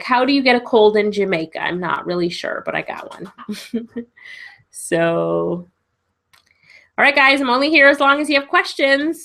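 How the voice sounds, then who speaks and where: A woman's voice: a little high, pushed and bright. A woman with a cold and a stuffy nose hosting a live webinar Q and A as a business coach, chatty and encouraging, laughing often. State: congested with a cold.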